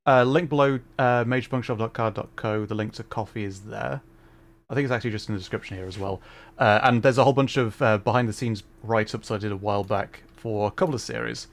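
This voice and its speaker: A man's voice: on the low side, fast. Rambling young male streamer. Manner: rambling, hesitant, many fillers. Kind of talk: casual gaming livestream chat.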